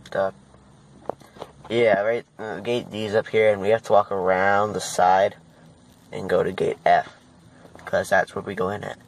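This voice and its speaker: A man's voice: on the low side, little pitch movement, a little slow, long pauses. A young man vlogging in casual chat on a noisy handheld phone recording.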